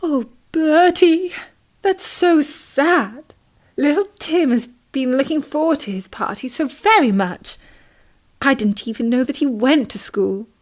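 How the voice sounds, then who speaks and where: A soft-hearted lady swan in a children's tale, voiced by a woman. Her fairly high voice is plaintive and full of sympathy. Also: a little slow. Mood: sad sympathy. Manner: sighing, plaintive.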